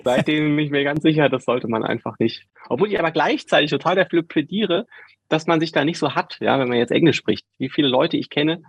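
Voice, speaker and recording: A man's voice: fairly high pitch, fairly quick, gravelly, slightly rough, no room sound. A man being interviewed as a musician, chatting easily and laughing now and then as he tells stories. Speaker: musician guest. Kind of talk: relaxed interview chat.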